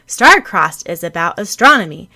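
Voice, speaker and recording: A woman's voice: on the low side, very animated, moderate pace, sharp tone, heavily acted. A woman voicing a mock-serious schoolboy who lectures emphatically, in an audiobook of anime fan fiction.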